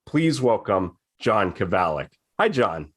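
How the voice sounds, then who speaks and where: A man's voice: lowish voice, big pitch swings, takes it slowly, fairly bright tone, husky. A man hosting a podcast, reading a scripted guest introduction and asking questions in an upbeat, welcoming way. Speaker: podcast host.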